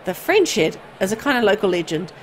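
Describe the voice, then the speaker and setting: Female game narrator, medium pitch, expressive storytelling, reading script aloud, slight background noise. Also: brisk. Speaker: video game player and narrator.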